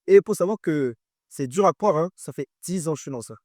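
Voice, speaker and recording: A man's voice: on the high side, lively intonation, fast talker, a little mellow, dry recording, clean studio sound. A man in his twenties with a French accent, a guest artist talking casually in an interview and repeating phrases for emphasis.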